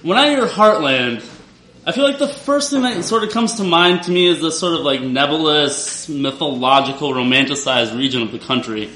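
A loud man with a bright, forceful voice tells a wry, confessional personal story to a live crowd. He stands away from the microphone, and his voice rings through a roomy venue. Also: on the high side, normal speed. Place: room with a live audience. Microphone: not using the microphone.

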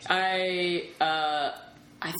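A woman with a notably low voice hosts a relaxed podcast chat. She talks freely and laughs easily, recorded in a roomy, echoing space with faint noise behind her. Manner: chatty, quick to laugh. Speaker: podcast host. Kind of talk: casual interview chat.

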